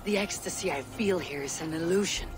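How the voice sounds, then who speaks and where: Stern young Viking warrior played by a man, game cutscene, higher-leaning voice, grave, clipped, over music. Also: a little fast, natural voice. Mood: troubled. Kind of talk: video game cutscene acting. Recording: music behind the voice.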